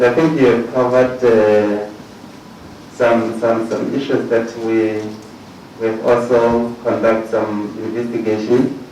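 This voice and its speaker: A man's voice: medium pitch, dark tone. South African man questioning from far off. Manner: halting, repeats words while questioning. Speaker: commission panel member. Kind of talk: formal questioning at a hearing. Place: large hearing room. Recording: echoey hall from far off, faint noise.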